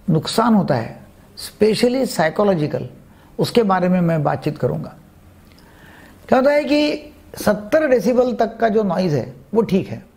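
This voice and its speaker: High-pitched, creaky-voiced older man. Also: a little mellow. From India. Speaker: psychiatrist giving health advice. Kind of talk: explanatory talk to camera. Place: indoors, seated before a green screen. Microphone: lapel mic.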